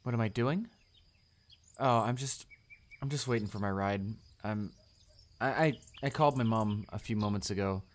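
A man's voice: on the low side, normal speed, slight background noise, close to an everyday voice. A man playing a shy, kind-hearted male student in a romantic audio roleplay, hesitant, stammering and apologetic. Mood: shy, casual.

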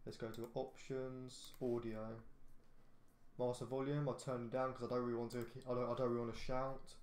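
A man's voice: mid-range voice, flat delivery, brisk, darkish tone, echoey room. A man gives casual, rambling game commentary from his bedroom, full of fillers and restarts. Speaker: gaming YouTuber. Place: echoey bedroom.

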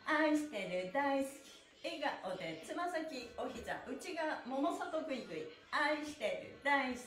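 Middle-aged woman, upbeat stretch instructor, bright high voice that bounces around, rhythmic chanting, camera-distance sound in a small echoey room. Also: sing-song, measured pace. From Japan. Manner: cheerful, playful, chants in rhythm while stretching. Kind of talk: live-streamed exercise class with chanting. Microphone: camera across the room.

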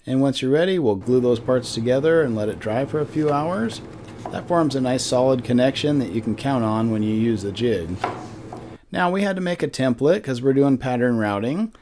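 A man's voice: mid-range voice, animated, normal speed, noisy background. A man in his forties giving a friendly step-by-step woodworking lesson in his wood shop. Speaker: woodworking instructor. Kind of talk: instructional shop tutorial. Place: wood shop with lumber racks, indoors.

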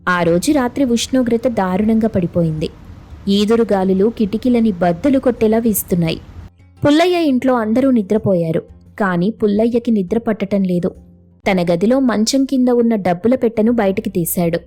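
A woman's voice: medium pitch, moderate pace, mellow, soft background music. A woman narrating a scripted moral story as a storyteller.

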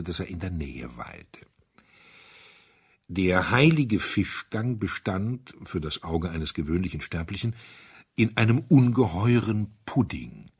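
A male audiobook narrator with a low, strongly husky voice. He reads literary prose in a measured and expressive manner, keeping a wry, formal tone.

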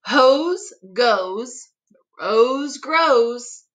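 A middle-aged woman reading a children's picture book aloud expressively, articulating tongue twisters with deliberate care. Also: fairly high pitch, animated. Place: living room at home.

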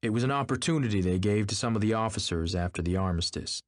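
A man's voice: lowish voice, quick pace, no echo, studio quality, close to an everyday voice. A man voicing an earnest, self-assured suitor with a polite, insistent manner in an audiobook. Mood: polite, controlled. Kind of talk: audiobook character acting.

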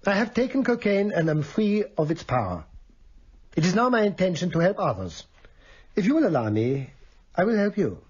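An actor playing an earnest, authoritative male doctor in a radio drama, speaking in a formal, measured, insistent manner. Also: high voice, big pitch swings, a little mellow, breathy edge, muffled recording, full character voice. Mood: reassuring resolve. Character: Sigmund Freud, earnest, compassionate, authoritative Viennese doctor.

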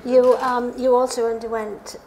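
A woman's voice: fairly high pitch, flat delivery, moderate pace, a little mellow. A woman chairing a live book event, asking questions in a conversational way. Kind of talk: conversational on-stage interviewing. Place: small dark stage before a seated audience. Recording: noisy live event room recording.

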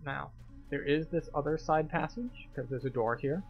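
A man's voice: fairly high pitch, normal speed, muffled recording, faint music behind. A man giving casual, step-by-step commentary as he guides viewers through a video game. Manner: explanatory, step-by-step.

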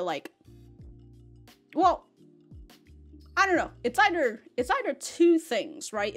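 A young woman hosting an anime reaction video, rambling loosely and swearing often as she laughs between thoughts into a studio mic. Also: medium pitch. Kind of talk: casual reaction commentary. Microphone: large studio mic in front.

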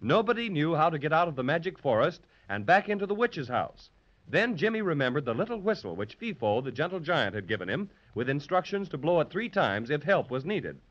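A muffled 1930s radio recording features a man narrating for children. His fairly high voice moves in lively, animated swings as he tells the scripted tale. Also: a little fast.

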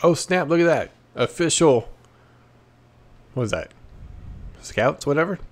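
A man's voice: fairly high pitch, lively intonation, a little slow. A man hosting a gameplay video with casual, slangy commentary, repeating phrases and talking back to the game. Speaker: gaming video host.

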